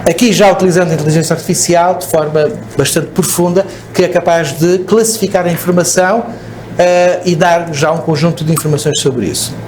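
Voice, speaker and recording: A man's voice: on the high side, fairly even intonation, brisk, darkish tone, slight background noise. A man with a European Portuguese accent giving a conference presentation, explaining step by step and checking in with the audience. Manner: explanatory, frequent "ok?" checks and restarts. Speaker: speaker at a conference. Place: conference hall.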